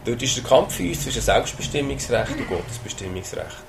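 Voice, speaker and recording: A man's voice: mid-range voice, very animated, fairly quick, vocal fry, noisy background. A man with a Swiss German accent preaching a sermon, talking in a conversational way with hesitations and sudden emphasis. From Switzerland.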